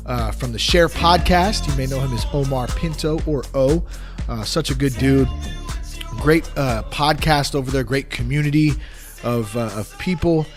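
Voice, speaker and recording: Raspy, tired-voiced man on a podcast. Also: mid-range voice, normal speed, music in the background. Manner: casual, upbeat, rambling with many fillers. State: voice worn and tired. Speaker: podcast host. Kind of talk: solo podcast monologue.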